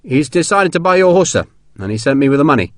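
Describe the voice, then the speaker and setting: A man's voice: a little high, sing-song, fast, no echo, studio quality, pitched above the performer's own voice. A man voicing a deferential but stubborn army sergeant who talks colloquially, in an audiobook. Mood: wooden, apologetic. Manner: colloquial, punctuated with deferential sirs. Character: rough-spoken army sergeant, deferential, stubborn, slyly innocent. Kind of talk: audiobook character dialogue.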